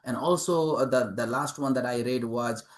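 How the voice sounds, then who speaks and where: A man's voice: medium pitch, moderate pace. Hesitant man with a Nepali accent. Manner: hesitant, many fillers and repeated words. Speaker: interviewed author and professor. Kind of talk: interview answers.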